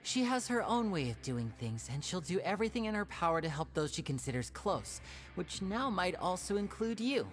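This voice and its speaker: A woman voicing a confident, playful young stage performer in a video game, with a smooth, expressive delivery. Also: lowish voice, normal speed, a little hiss or hum. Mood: pleased, earnest. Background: background music.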